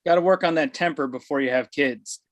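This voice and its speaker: A man's voice: fairly high pitch, brisk, lightly acted. Tactless, self-concerned father in middle age played by an actor, comedy table read.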